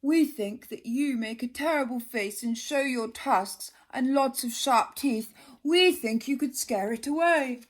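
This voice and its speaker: Polite calm porcupine that a woman reads, matter-of-fact storybook voice, slow and even. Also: high voice.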